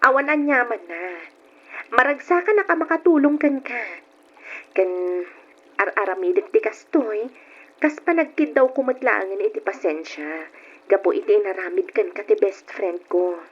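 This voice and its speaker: A woman's voice: medium pitch, expressive, moderate pace, slightly rough. A woman acting in an Ilocano radio drama, playing a supportive, caring single friend. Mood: consoling. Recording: muffled old radio recording.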